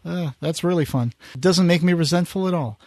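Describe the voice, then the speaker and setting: Higher-voiced man chatting as podcast host. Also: brisk, darkish tone, dry recording, studio quality. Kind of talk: casual chat.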